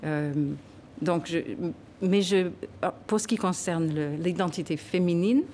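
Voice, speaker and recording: Low-voiced woman author in a studio. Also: a little slow, mellow, a little hiss or hum. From Canada. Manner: thoughtful, emphatic, gesturing while talking. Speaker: guest author. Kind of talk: TV studio interview. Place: TV news studio. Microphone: desk microphone.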